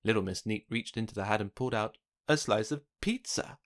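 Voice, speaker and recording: A man narrating a children's picture book, reading aloud with expressive, dramatic emphasis. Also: on the low side, brisk. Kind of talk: scripted storybook narration for children.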